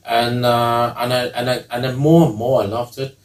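A man's voice: mid-range voice, level tone. Roomy, distant man. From Hong Kong. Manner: chatty and halting, with frequent restarts and repeated words. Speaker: guest, baritone singer. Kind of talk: casual conversational interview. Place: music academy room. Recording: roomy sound from a distant mic.

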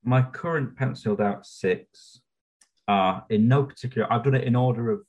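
An easygoing man chatting on a podcast, telling stories at a relaxed pace. His voice is mid-pitched for a man. The sound is slightly muffled and lo-fi. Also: moderate pace. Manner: easygoing, tells anecdotes. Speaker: podcast co-host. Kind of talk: casual chat about musicals.

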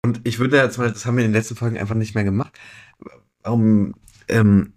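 A man's voice: on the low side, steady tone, fairly quick, a little mellow. Young man chatting casually, jokey and slangy. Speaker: podcast co-host. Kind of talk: casual comedic podcast chat.

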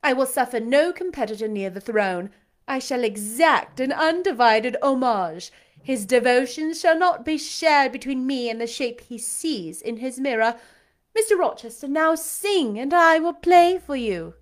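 A woman's voice: high voice, lively intonation, measured pace, pitched above the performer's own voice. A woman reading aloud, voicing a proud, scornful young aristocratic lady who talks in an imperious, showy way. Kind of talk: audiobook character reading.

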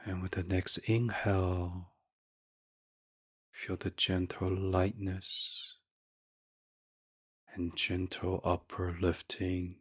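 An extremely unhurried man speaks in a soft, breathy near-whisper, guiding listeners toward sleep. His delivery stays flat and even, with long gentle pauses in an ASMR meditation style. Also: low-pitched. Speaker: meditation podcast host.

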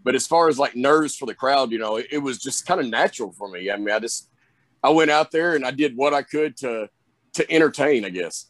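A young man with a Southern drawl talks loosely through an interview, circling back with plenty of "you know"s. His voice sits at a middle pitch, and the sound is dry and close with no room around it. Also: animated, brisk, a bit bright, dry recording. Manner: rambling, lots of fillers, drawling. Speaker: wrestler guest. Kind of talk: casual interview chat.

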